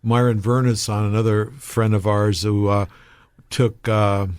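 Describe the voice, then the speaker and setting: A man's voice: fairly low pitch, flat delivery, a little slow, darkish tone, smooth, no room sound, studio quality. A man from Ohio co-hosting a podcast, mostly chiming in with short affirmations and friendly asides. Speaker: podcast co-host. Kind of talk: casual interview chat. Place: podcast studio.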